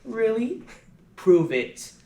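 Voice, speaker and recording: A man's voice: high voice, sing-song, extremely slow, warm tone, voice pushed up, exaggerated voice. A man reading the part of a cocky, playful teenage boy with a teasing, yelping delivery.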